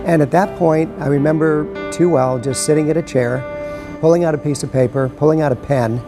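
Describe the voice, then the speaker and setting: A man's voice: fairly high pitch, fairly even intonation, a little fast, over music. A middle-aged or older man giving reflective interview answers, repeating phrases for emphasis. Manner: emphatic repetition. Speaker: interview guest, entrepreneur.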